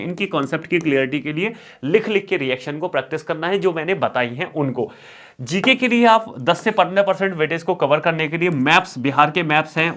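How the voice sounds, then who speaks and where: Guy coaching students for exams, kinda high voice, repeats stuff to drive it home, drops english words in. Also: fairly bright tone. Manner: instructional, repeats key words for emphasis, mixes English terms into Hindi. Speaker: exam coaching teacher. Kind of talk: exam strategy lesson with course promotion.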